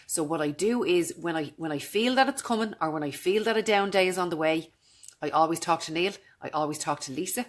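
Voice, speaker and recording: Woman in her 30s, real low voice, direct and earnest, chatting to camera. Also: brisk. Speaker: vlogger. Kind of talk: casual talk to camera.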